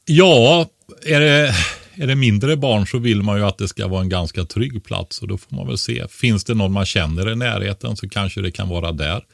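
A man's voice: fairly low pitch, gravelly, hardly any pauses, no echo, clean studio sound. A man talking casually and giving explanatory advice as an expert podcast co-host, often tagging a question onto the end of his points.

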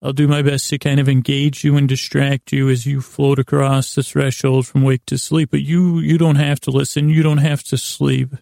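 A man hosting a bedtime podcast in a gravelly voice, rambling and meandering with halting repeats as he tries to lull listeners. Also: mid-range voice, flat delivery, mellow, no room sound, clean studio sound. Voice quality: gravelly, creaky. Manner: meandering, halting, repeating himself, soothing intent. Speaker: podcast host.

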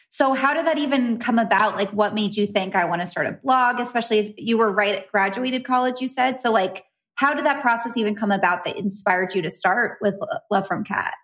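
A woman's voice: mid-range voice, animated, moderate pace, fairly bright tone. A woman hosting a chatty podcast, speaking in an enthusiastic, informal and gushing way. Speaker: podcast host and interviewer.

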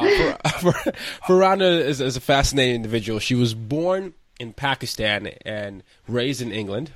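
A man's voice: mid-range voice, dry recording. A man hosting an interview podcast, chatty and hesitant, with fillers and restarts. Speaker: podcast host. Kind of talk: casual interview chat.

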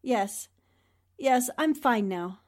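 Woman acting a high-voiced, shrewd, polite 1940s newspaperwoman. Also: expressive, extremely slow, a little mellow. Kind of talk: 1940s radio drama acting.

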